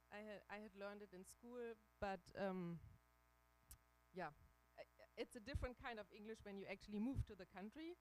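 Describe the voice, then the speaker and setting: German-accented woman, halting career talk. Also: medium pitch, darkish tone, slight background noise, poor audio. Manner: halting, many fillers and restarts. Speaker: guest speaker. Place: church hall.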